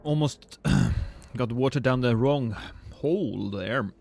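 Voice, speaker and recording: A man's voice: slow, gravelly. A man in his thirties chatting casually and profanely with viewers on a live stream, rambling and joking at his own expense. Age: 30s. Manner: rambling, self-mocking, profane; swings between loud excited bursts and low mumbles.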